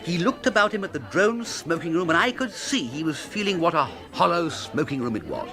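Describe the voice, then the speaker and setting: A man acting as a foppish young English gentleman in a radio comedy. His upper-class voice is high for a man and swings widely in pitch. He is breezy, good-natured and a bit dim, with a hesitant chatty drawl and music playing behind him. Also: expressive, a little fast, lightly acted. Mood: earnest. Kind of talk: British comic radio drama acting.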